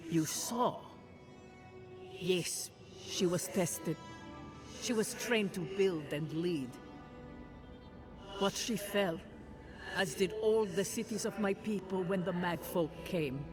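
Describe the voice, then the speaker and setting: An ancient guardian spirit from a video game, acted out by a performer, speaks with solemn formality. The delivery is very slow and measured, mid-pitched, with prominent music underneath. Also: close to an everyday voice. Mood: commanding. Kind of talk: scripted dramatic game narration. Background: clear background music.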